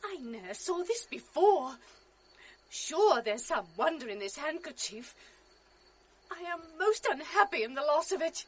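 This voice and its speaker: An actress playing a gentle young noble wife in a radio drama, speaking earnestly and pleadingly. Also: female voice, high-pitched, very animated, measured pace. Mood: troubled. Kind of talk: 1980s radio drama acting.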